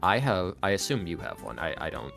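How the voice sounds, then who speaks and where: A man with a deep voice speaks as a podcast guest in a casual, opinionated way. His intonation swings widely as he rambles and stresses his points. Soft guitar music plays faintly behind him. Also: very animated, fairly quick, creaky. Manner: emphatic, rambling, slangy, often acting out imagined quotes. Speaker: podcast guest, ASMR roleplay creator. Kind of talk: casual, opinionated interview talk.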